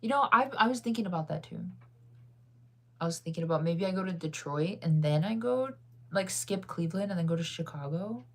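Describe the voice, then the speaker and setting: A woman's voice: fairly low pitch, expressive, a little fast. A woman chatting casually with her viewers, rambling through stories with lots of fillers and frequent laughter. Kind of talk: casual chat.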